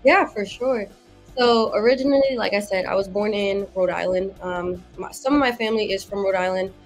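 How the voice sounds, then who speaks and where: A woman's voice: medium pitch, moderate pace, faint music behind, poor audio. A young woman from Massachusetts telling her story casually as a podcast guest, informal and wordy, often rephrasing herself. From Massachusetts, United States. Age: young adult. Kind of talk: casual personal storytelling in an interview.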